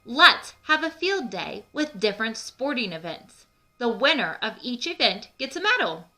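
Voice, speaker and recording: A woman voicing an eager male turkey in a children's storybook, speaking with excited emphasis. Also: fairly high pitch, normal speed, voice pushed up. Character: eager male turkey, excitable schemer among farm friends.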